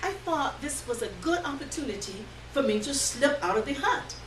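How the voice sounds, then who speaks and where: A woman giving an expressive, measured dramatic reading of a first-person story before a live room. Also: high-pitched. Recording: distant roomy live recording, faint noise.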